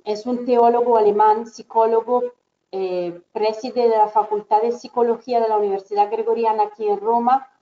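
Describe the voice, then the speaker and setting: A woman hosts an online webinar in a language that is not her first, hesitant and repeating herself as she goes. Her tone is mellow and dark. The call audio sounds dull and lo-fi. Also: mid-range voice. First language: not Spanish. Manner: hesitant, repetitive, many fillers. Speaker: webinar host, moderator.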